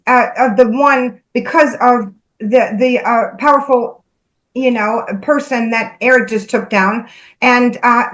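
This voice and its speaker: A woman with a somewhat high-pitched voice speaks in a casual conversation. She earnestly relays messages she says she is given, as a spiritual medium would. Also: a bit bright, rough recording.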